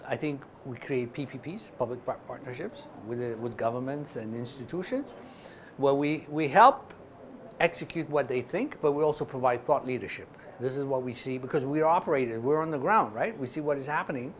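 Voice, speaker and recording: A man's voice: medium pitch, a little hiss or hum. A man from Ethiopia explaining business as an interview guest, speaking emphatically and punctuating his points with short check-ins. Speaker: interview guest, investment fund chairman.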